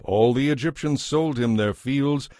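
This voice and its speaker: A man narrating scripture from a script in a measured, solemn manner. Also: medium pitch, warm tone, no echo. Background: music.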